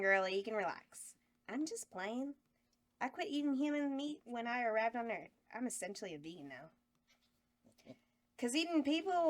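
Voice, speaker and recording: A brash, crude horror hostess with a sassy folksy drawl, read aloud by a woman. Her voice sits a little high. She teases and trash-talks her way through every line. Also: lightly acted. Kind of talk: table read acting.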